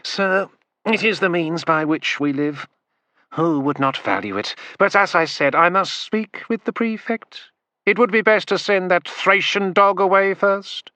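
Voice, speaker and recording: A man's voice: high-pitched, measured pace, pushed and bright, dry recording, voice pushed up. A man putting on a fawning sing-song merchant. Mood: obsequious. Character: wheedling sing-song male wine merchant.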